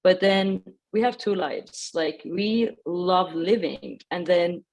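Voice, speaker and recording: A woman's voice: on the low side, takes it slowly, creaky, a little mellow, low-quality recording. A woman from Iran with an Iranian accent and Persian as first language, speaking personally and effusively as a panel guest. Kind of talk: conversational personal reflection.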